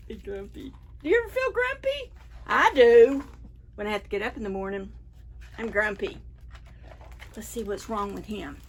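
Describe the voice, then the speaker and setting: Expressive, slow-paced woman reading to children. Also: on the high side. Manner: expressive, unhurried, asking the children questions. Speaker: children's library storytime host. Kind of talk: picture-book read-aloud for preschoolers.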